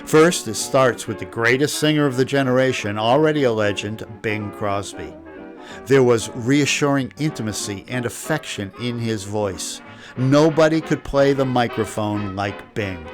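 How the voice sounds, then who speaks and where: A man's voice: medium pitch, moderate pace. Man narrating a script over music. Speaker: host.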